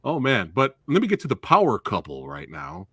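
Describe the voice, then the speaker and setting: A man's voice: mid-range voice, huge pitch swings, moderate pace, vocal fry, a bit bright, breathy edge, no echo. A man hosting a live interview, enthusiastic and full of short affirmations. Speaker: host.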